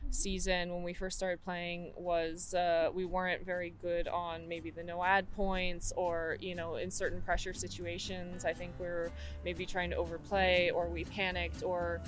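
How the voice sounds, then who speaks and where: A young woman with an American accent speaks in a fairly low voice and answers calmly. She pauses often with fillers and restarts her sentences. A faint hum of ambient noise sits behind her. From Florida, United States. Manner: relaxed, with many fillers and restarts. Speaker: professional tennis player, guest. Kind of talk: casual interview answers.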